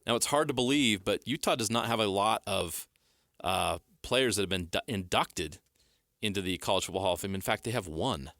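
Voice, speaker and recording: Deep, bright-toned radio host. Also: male voice, expressive, no echo, clean studio sound. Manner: brisk, upbeat, leads the segment. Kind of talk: sports radio talk.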